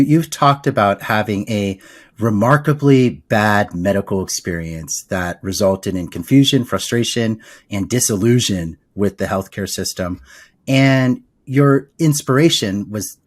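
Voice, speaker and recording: Man, podcast host, lowish voice, polished, upbeat, interviewer. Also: expressive, measured pace. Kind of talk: scripted intro, then interview.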